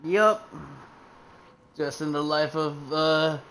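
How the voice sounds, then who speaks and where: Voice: medium pitch, takes it slowly, fairly bright tone, a little hiss or hum, rough recording. A streamer giving casual, offhand commentary while playing, with frequent swearing.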